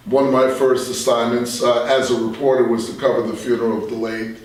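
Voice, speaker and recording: An American man in his sixties speaking as a veteran radio journalist in a reflective interview, measured and halting with emphatic asides. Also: mid-range voice, flat delivery, a little mellow, recorded from a distance. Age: 60s. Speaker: veteran radio journalist and talk show guest. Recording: very echoey room, off the mic.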